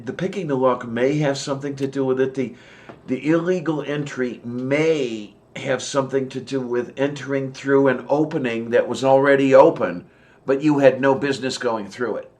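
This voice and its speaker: Slow, hesitant man. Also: mid-range voice, a little mellow. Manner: slow, hesitant, many "um"s and pauses. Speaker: podcast co-host. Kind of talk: casual chat.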